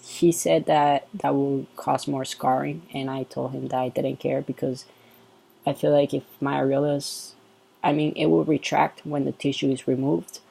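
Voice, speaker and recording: A man with a soft, androgynous-sounding voice, talking through a casual update vlog in a relaxed, unhurried way. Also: medium pitch, vocal fry, slightly rough, low-quality recording. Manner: relaxed and unhurried, with frequent ums. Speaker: vlogger.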